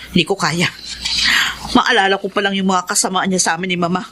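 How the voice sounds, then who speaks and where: A woman's voice: medium pitch, little pitch movement, fast, fairly bright tone, a little hiss or hum, lightly acted. An actress in a Filipino radio drama playing an emphatic, scolding mother who holds bitter resentment. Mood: angry, bitter. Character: bitter, resentful mother still angry at her father.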